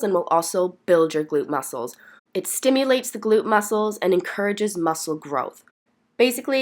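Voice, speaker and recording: A woman with a slightly stuffy, congested voice from being sick, narrating exercise instructions as a fitness vlogger in an encouraging, explanatory way. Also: mid-range voice. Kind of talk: instructional workout voice-over.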